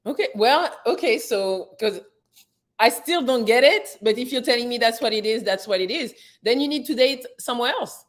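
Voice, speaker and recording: A woman's voice: a little high, expressive, moderate pace. Blunt woman hosting an advice show. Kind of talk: casual call-in chat.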